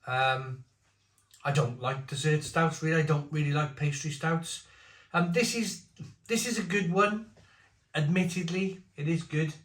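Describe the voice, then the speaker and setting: Man, forties, beer reviewer, blunt and opinionated, slightly high voice, echoey room. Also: a little slow, a bit bright. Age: 40s. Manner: blunt, opinionated, rambling asides. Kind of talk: casual beer review monologue. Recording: roomy echo.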